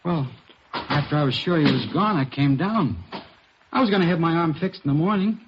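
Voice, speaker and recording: Man playing a higher-voiced, defensive young suspect. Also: moderate pace, close to an everyday voice. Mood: uneasy, defensive. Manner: sullen, guarded, short answers. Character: evasive, defensive young male drifter suspect, twenty-two. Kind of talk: 1950s radio police drama acting. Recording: dull, noisy vintage radio recording.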